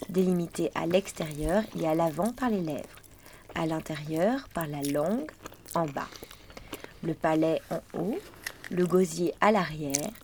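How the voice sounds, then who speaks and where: A woman's voice: fairly low pitch, slight background noise. A woman narrator reading out a dictionary definition for a radio sound piece.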